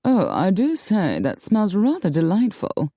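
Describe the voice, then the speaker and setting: A woman's voice: mid-range voice, big pitch swings, a little slow, warm tone, dry recording, clean studio sound. A woman narrator voicing a prim, snobbish aristocratic lady vampire with an affected, genteel, dramatic manner. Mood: fretful, genteel.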